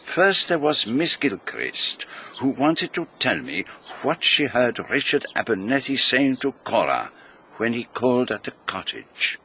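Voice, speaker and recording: An actor playing a vain, precise celebrated detective in a radio drama, with a pompous, formal manner. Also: male voice, medium pitch, very animated, unhurried, pushed and bright, slight background noise, full character voice. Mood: measured, musing.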